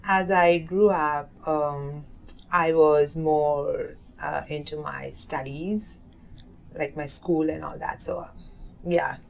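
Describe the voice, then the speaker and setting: Slow, gravelly-voiced woman in her thirties. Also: low-pitched, steady tone, poor audio. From South Asia. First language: Hindi. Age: 30s. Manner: hesitant, many fillers and restarts. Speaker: oral history narrator. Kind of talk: interview answers. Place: community center room.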